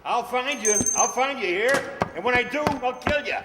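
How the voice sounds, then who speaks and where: A man playing a jealous, hot-tempered husband in a radio mystery, clipped, threatening and forceful. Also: extremely high pitch, moderate pace. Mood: angry. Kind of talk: radio mystery drama acting. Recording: noisy, reverberant hall stage recording.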